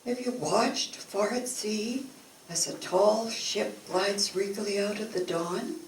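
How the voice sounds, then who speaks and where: An older woman reciting poetry expressively at a community event, with measured, dramatic phrasing. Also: medium pitch. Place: event room, recorded from a distance. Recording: echoey, noisy room, distant microphone.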